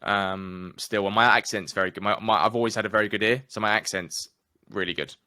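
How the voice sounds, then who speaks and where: A young man with an English accent talks candidly and casually, dropping slang now and then. His voice is smooth and fairly low, recorded close and dry with no room sound. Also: fairly even intonation, brisk, sharp tone, no room sound. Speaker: guest. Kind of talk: casual conversation.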